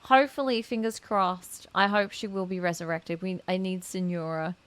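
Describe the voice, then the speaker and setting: A woman game streamer giving casual, gushing, expressive reaction commentary over gameplay. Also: on the low side, moderate pace.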